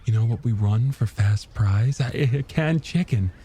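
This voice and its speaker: A man's voice: mid-range voice, a little slow, mellow, slightly rough. A man voicing a wistful, nostalgic married woman in an audiobook, reminiscing with some hesitation. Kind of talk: audiobook character dialogue.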